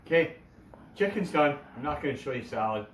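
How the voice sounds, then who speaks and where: A middle-aged man casually demonstrating a recipe in his home kitchen, low-key and a little tired. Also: expressive, a little fast. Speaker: home cook. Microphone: camera across the room. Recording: echoey kitchen with background noise.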